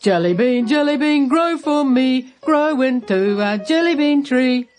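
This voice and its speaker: A woman's voice: high voice, animated, unhurried, darkish tone, faint music behind, pitched above the performer's own voice. A woman voicing a jolly, exclamatory old grandpa in a children's storybook. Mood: chanting. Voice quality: thundery when singing. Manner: exclamatory, gleeful. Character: jolly excitable old grandpa with a jiggly tummy.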